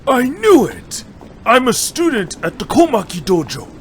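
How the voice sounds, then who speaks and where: A man's voice: very high voice, wildly swooping pitch, unhurried, a little hiss or hum, heavily acted. A man playing an eager, respectful young dojo student in an audio drama, speaking with keen emphasis. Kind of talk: fan audio drama acting.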